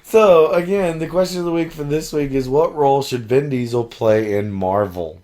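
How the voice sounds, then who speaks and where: A man co-hosting a podcast in casual chat, hoarse from losing his voice and laughing often. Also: medium pitch, moderate pace, darkish tone. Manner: laughs often, rambling and loose. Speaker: podcast co-host.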